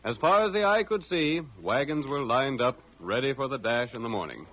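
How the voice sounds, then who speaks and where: A man's voice: mid-range voice, animated, moderate pace, fairly bright tone, low-quality recording. A man with an American accent narrating a vintage radio western in a dramatic, declamatory announcer style. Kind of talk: 1930s-40s radio scripted narration.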